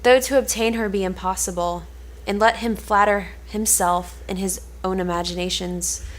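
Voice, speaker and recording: A woman's voice: mid-range voice, fairly even intonation, close to an everyday voice, rough recording. A woman playing a frank, admonishing confidant, reading formal archaic lines in an amateur cold read of an Elizabethan play. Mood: frank, reproving. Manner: measured, formal archaic phrasing.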